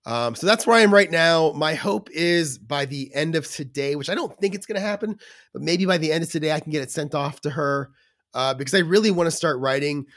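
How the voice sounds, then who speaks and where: A man with a somewhat high voice made scratchy by a cold talks in loose, rambling podcast chat, full of fillers and restarts. The sound is dry and close, with no room around it. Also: brisk, a bit bright, no room sound. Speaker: podcast co-host. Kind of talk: casual chat.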